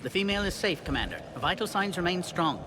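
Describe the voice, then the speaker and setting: Rapid-fire alien scientist. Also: male voice, high voice, animated, noisy background. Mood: reporting. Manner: clipped, matter-of-fact. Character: brisk, brainy male Salarian alien scientist, dry and candid. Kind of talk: video game acting.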